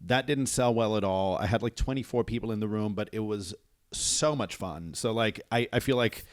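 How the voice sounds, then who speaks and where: A man's voice: on the low side, normal speed, dry recording, clean studio sound. Dutch-raised man with an American accent. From Netherlands. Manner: laughs through his stories. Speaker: comedian guest. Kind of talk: casual podcast chat.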